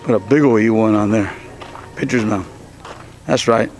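A man organizing a youth softball clinic, talking casually to his handheld camera outdoors in a loose, upbeat way with plenty of fillers. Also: on the low side, moderate pace, soft background music. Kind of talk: casual vlog narration to camera.

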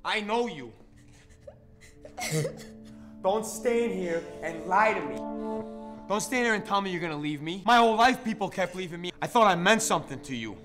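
Actor as volatile, menacing, jealous ex-convict. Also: male voice, medium pitch, animated, a little fast, over music, close to an everyday voice. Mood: hurt, angry. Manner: crude, taunting, confrontational. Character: menacing, jealous ex-convict, crude, wounded by abandonment. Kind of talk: naturalistic film drama acting.

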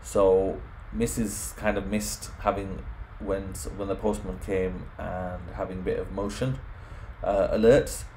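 A man's voice: sits low, fairly even intonation, takes it slowly, dark tone, a little hiss or hum, rough recording. A man presenting an informal home automation tutorial, explaining things step by step. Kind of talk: informal software screen walkthrough.

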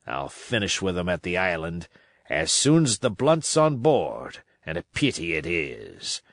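A man's voice: sits low, very animated, measured pace, no echo, studio quality, heavily acted. Long John Silver, a man voicing a scornful, commanding pirate. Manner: salty sailor talk, scornful, emphatic. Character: Long John Silver, shrewd domineering pirate sea cook.

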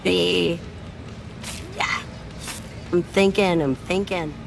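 A woman's voice: fairly low pitch, steady tone, extremely slow, vocal fry, noisy background, full character voice. A woman voicing a guarded young female drifter in video game dialogue, terse, dismissive and defiant. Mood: curt, irritated.

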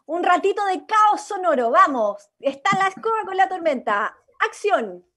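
A Chilean woman on a video call, directing a radio play workshop in an animated, encouraging way. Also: extremely high pitch, moderate pace. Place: indoors at home, video call. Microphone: computer mic on video call.

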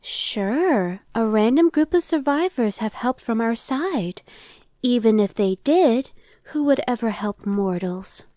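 Female demon searcher, played by a woman: impatient, dismissive, conversational ASMR roleplay, slightly high pitch, very dry sound. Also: expressive, takes it slowly, warm tone, dry recording. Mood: sardonic. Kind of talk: ASMR roleplay, one-sided dialogue.

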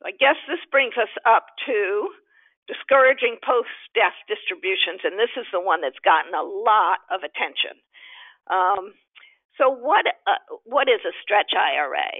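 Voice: medium pitch, expressive, measured pace, dry recording. A webinar presenter explaining rules over slides in a conversational way, with frequent restarts, heard on a telephone line. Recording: tinny phone-line audio.

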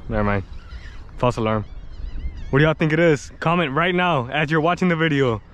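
A man's voice: fairly high pitch, a little fast, a bit bright. A young man hosting a casual fishing vlog outdoors by the water, playful and joking with viewers. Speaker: fishing channel host.

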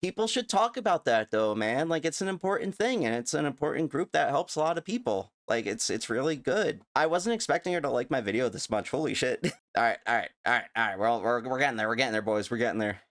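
A man's voice: medium pitch, animated, fast, hardly any pauses, fairly bright tone. A middle-aged man streaming on a headset mic from his home setup, reacting in casual live chat, swearing freely and laughing easily.

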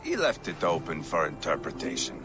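A boisterous Viking warrior who taunts everyone around him, his pitch leaping about. Clear game ambience sits behind him. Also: wildly swooping pitch, moderate pace, noisy background, exaggerated voice. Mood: taunting. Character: brash mocking Viking warrior, young man.

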